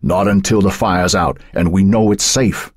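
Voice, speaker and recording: Male narrator as dogged firefighter in a novel, firm and insistent, husky, very dry close sound. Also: low-pitched, fairly even intonation, normal speed, dry recording. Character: dogged, firm fireman handling a distressed resident.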